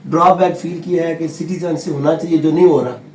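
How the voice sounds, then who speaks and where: A man's voice: fairly high pitch, steady tone, a little fast, darkish tone. A Pakistani man hosting a radio interview in a courteous, formal manner. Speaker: radio host interviewing a guest. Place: radio studio. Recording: roomy echo, faint background noise.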